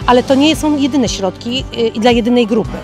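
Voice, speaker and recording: Hesitant woman interviewed at outdoor fair. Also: a little high. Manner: halting, many filler sounds. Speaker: local development group representative. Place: outdoor festival. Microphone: handheld reporter mic. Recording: music clearly audible behind.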